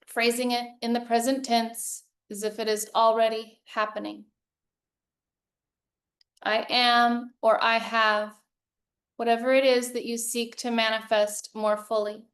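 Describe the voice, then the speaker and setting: A woman leading a guided meditation as a yoga teacher, speaking in calm, measured, soothing phrases with long pauses. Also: fairly high pitch.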